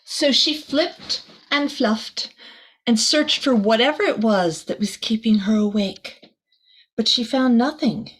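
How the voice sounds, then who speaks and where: Lady teacher reading a kids story out loud, really slow, expressive, little soft asides. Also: a little high, a little hiss or hum, rough recording. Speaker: volunteer teacher reading a picture book to children. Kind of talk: children's storybook read-aloud with chatty asides.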